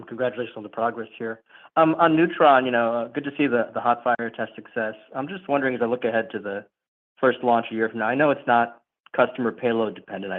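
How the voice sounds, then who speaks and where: A male financial analyst asking questions on a conference call in an unscripted, conversational way. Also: lowish voice. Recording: thin phone line.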